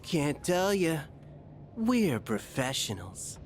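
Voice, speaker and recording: A man's voice: fairly high pitch, sing-song, slow pace, slight background noise. A man playing a smug, streetwise hired avenger in a video game, with a casual, mocking drawl. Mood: smug menace.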